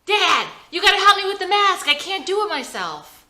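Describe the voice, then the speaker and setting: A woman's voice: very high-pitched, big pitch swings, moderate pace, sharp tone, pitched above the performer's own voice, full character voice. A woman playing a nerdy ten-year-old boy in a script reading, whiny and insistent, anxious but cocky. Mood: pleading. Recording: roomy echo.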